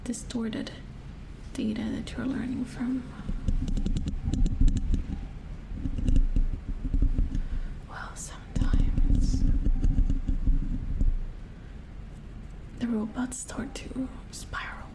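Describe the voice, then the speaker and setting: Slow whispering female robot repair engineer acted by a woman. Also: on the high side, big pitch swings, full character voice, low-quality recording. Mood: musing, explanatory. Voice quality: breathy whisper. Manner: slow, halting, musing explanations. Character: calm, amused female robot repair engineer, patient explainer. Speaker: ASMR performer. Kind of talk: soft-spoken ASMR roleplay.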